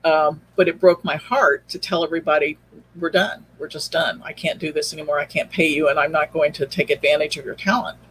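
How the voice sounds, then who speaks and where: A woman's voice: deep voice, fairly even intonation, a little fast, a bit bright, slightly rough, low-quality recording. An older woman telling personal stories casually and earnestly as a podcast guest on a video call, a little hesitant. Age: older, baby boomer. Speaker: podcast guest, show producer.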